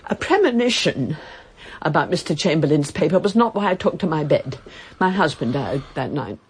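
A woman's voice: sits low, expressive, breathy edge, exaggerated voice. An imperious old lady. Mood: earnest. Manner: imperious, brisk, theatrical. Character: elderly reclusive widowed aristocratic lady, commanding. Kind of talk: radio drama acting.

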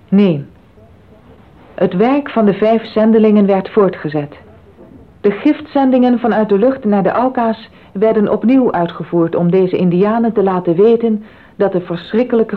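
Woman narrator, tinny old radio sound. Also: mid-range voice. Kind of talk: scripted solemn documentary drama narration.

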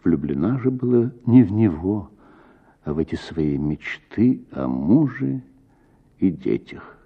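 A man reading a literary story aloud in an expressive, measured, dramatic way with deliberate pauses. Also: deep voice, gravelly, husky, phone-quality sound. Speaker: actor reading a short story.

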